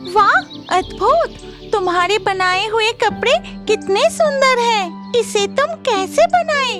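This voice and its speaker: A woman voicing a sweet, excited young female cartoon bird, gushing and childlike, with loud music behind. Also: very high voice, slow pace, heavily acted. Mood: amazed, pleased. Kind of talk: cartoon character acting.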